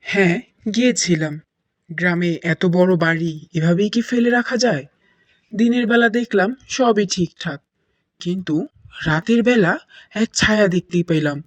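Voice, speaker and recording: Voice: medium pitch, normal speed, long pauses, no echo, natural voice. An actor voicing a strict father in a cartoon, who forbids a trip and tells a grave ghost story. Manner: stern, then hushed storytelling. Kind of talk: cartoon voice acting.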